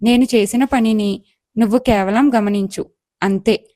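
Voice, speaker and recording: A woman playing a wise, patient elder goddess in a moral story, calm, instructive and reassuring. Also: medium pitch, a little slow, no echo, natural voice. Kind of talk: acted moral story dialogue.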